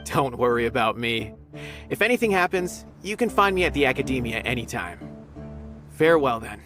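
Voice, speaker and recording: A man acts out a polite, earnest officer of the law in a video game. His pitch moves expressively as he recounts things courteously. Music plays clearly behind him. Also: very animated, moderate pace, lightly acted. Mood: friendly. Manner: polite, storytelling. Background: background music.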